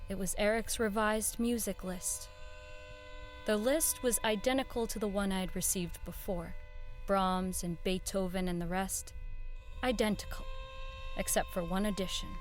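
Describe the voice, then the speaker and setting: Actress as earnest radio woman, medium pitch. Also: steady tone, measured pace, soft background music, lightly acted. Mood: measured narrating. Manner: earnest, restrained, hesitant. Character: earnest, idealistic woman running a struggling radio network. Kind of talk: radio drama acting and narration.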